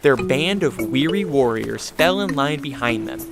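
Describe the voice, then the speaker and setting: A man's voice: medium pitch, lively intonation, a little slow. A man narrating a serialized radio drama in a scripted style. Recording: music behind the voice.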